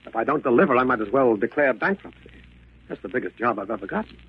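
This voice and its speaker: A harried, frightened architect in a 1940s radio play. His husky voice swings earnestly up and down as he frets, through a muffled, narrow-band old recording. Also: medium pitch, expressive, fast. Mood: anxious. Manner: worried, earnest. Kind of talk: 1940s radio drama acting.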